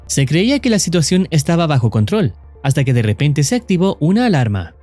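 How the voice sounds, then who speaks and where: A man reads scripted narration as the narrator of a horror explainer, in a measured storytelling manner. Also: fairly high pitch, big pitch swings, over music.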